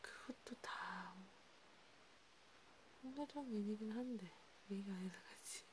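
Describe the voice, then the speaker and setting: A woman speaking in a hushed, rambling ASMR style, half whispering, as if chatting one-to-one with a listener. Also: medium pitch, a little slow, background noise. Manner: hushed, rambling, intimate one-sided conversation. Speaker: ASMR creator. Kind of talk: soft ASMR roleplay chat, partly whispered.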